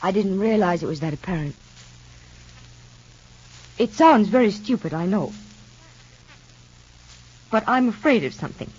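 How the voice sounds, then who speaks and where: An actress playing an anxious, fearful mother in a halting, earnest 1950s radio soap style. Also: medium pitch, expressive, a little mellow, breathy edge. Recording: dull vintage radio drama recording.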